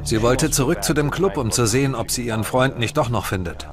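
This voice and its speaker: A man plays a male investigator recounting a case for a true-crime documentary. His voice sits at a medium pitch, with clear music behind it. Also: expressive, a little fast, close to an everyday voice. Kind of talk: dubbed documentary interview account.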